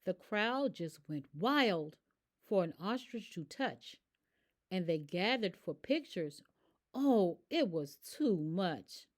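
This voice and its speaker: Woman, children's read-aloud, rhyming narration, expressive, unhurried pace, highly varied pitch. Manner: expressive, emphatic. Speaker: storyteller.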